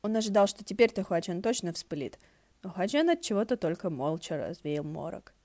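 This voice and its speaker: A woman reading a story aloud as an expressive audiobook narrator. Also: lowish voice, fairly quick, no room sound. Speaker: audiobook reader.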